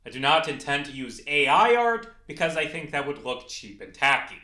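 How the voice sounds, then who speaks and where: A man's voice: on the high side, sing-song, normal speed, pushed and bright. A young adult man narrating a scripted video essay as a game developer. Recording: echoey, reverberant room.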